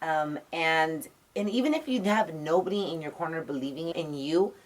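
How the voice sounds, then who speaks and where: Raspy young woman guest, a bit low-pitched, upbeat and emphatic. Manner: emphatic, motivational, quick to laugh. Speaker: interview guest, radio personality. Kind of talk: casual chat.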